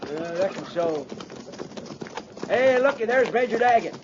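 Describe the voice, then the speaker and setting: A man playing a rough, folksy, steady cavalry trooper in a 1950s radio drama. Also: high voice, unhurried. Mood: grim, concerned. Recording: muffled, noisy 1950s radio recording.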